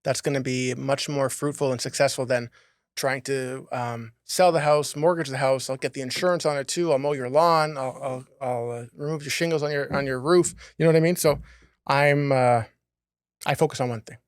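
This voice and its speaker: A man's voice: mid-range voice, fairly even intonation, normal speed, dry recording, clean studio sound. A man casually chatting and questioning his co-host on a podcast, in a joking, teasing manner. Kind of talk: casual interview chat.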